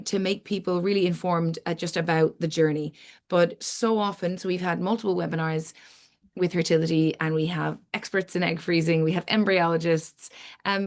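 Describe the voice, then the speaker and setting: A middle-aged woman with a slightly low voice presents an online webinar as its host. She speaks informally, explaining in long sentences that build up lists of points. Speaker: webinar host, academic scientist.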